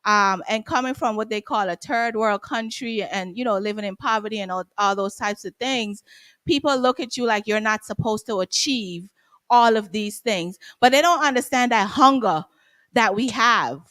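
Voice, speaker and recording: A woman's voice: mid-range voice, moderate pace, a bit bright, dry recording. A woman from Guyana hosting a live stream interview, chatty and giggly, with many "like" fillers. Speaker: host, interviewer.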